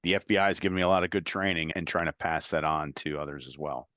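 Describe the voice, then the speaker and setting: A man's voice: rumbling low, fast talker, bright, forceful tone, no echo. A middle-aged man who is a guest on an interview show, talking reflectively and chuckling now and then as he tells stories. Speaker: guest, former FBI agent. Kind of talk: conversational interview.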